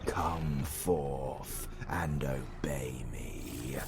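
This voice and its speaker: An actor with a British accent playing a pompous, melodramatic retired dark lord in a comedy audio roleplay, husky and easily outraged. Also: male voice, a bass voice, very slow, slight background noise, exaggerated voice. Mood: menacing, summoning. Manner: grandiose, melodramatic, sardonic.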